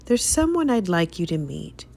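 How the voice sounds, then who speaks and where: A kindly young wife from a children's storybook, acted by a woman. The delivery is gentle and doting, with animated, affectionate rises and falls. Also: on the low side, moderate pace, creaky, full character voice.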